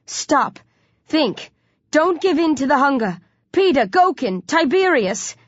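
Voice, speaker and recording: A woman's voice: high-pitched, big pitch swings, slow pace, no room sound, highs cut off, voice pushed up, exaggerated voice. A woman voicing a desperate, pleading teenage zombie in an audiobook, urgent and impassioned. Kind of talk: audiobook character dialogue.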